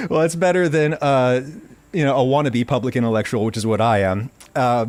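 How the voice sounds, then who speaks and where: A man's voice: mid-range voice, moderate pace, a little mellow. An American man hosting a podcast interview, thinking aloud with a lot of ums and uhs. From United States. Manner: rambling, thinking aloud with many fillers. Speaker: podcast host.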